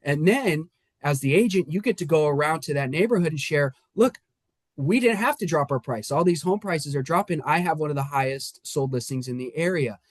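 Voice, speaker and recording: Upbeat mortgage lender, dry sound. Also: male voice, a little high, animated, brisk, no echo. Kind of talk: casual podcast talk. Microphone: remote call.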